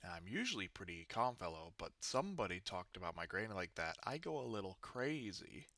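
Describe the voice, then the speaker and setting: A storybook wolf, acted out by a man, tells his side with indignant, self-excusing chattiness. The voice is on the low side and bright, with a close, dry sound. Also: big pitch swings, fairly quick, no echo, exaggerated voice. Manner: conversational, self-justifying, indignant. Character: wronged wolf telling his own excuse-filled version. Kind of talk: storybook read-aloud in first person.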